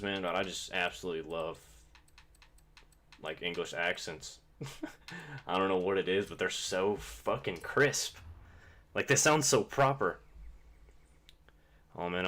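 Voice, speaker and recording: A man's voice: low voice, fairly bright tone, slight background noise. A young man from Kentucky, chatting casually and rambling with plenty of swearing while streaming a game. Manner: rambling, profane, laid-back.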